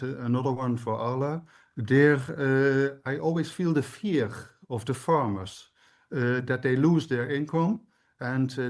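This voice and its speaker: A man with a Dutch accent, a native Dutch speaker who coaches dairy farmers, talking on a panel and often pausing to find words. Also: medium pitch, a little mellow. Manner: hesitant, searching for English words. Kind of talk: panel discussion.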